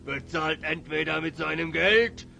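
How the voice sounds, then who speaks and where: A man playing a menacing, blustering robber chief in a fantasy audio drama, with a gravelly, sharp voice and a taunting, theatrical delivery. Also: on the high side, measured pace, soft background music, heavily acted. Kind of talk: German children's fantasy audio drama acting.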